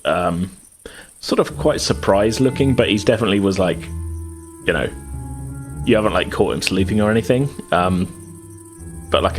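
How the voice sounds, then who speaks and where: A man with a fairly low voice acts as game master, narrating casually. His delivery meanders and hedges, and faint music plays behind him.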